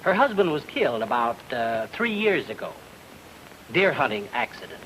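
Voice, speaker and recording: An actor playing a hesitant, obliging small-town investigator who repeats himself in an old radio drama. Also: male voice, medium pitch, big pitch swings, normal speed, fairly bright tone. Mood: informative. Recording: noisy old radio recording.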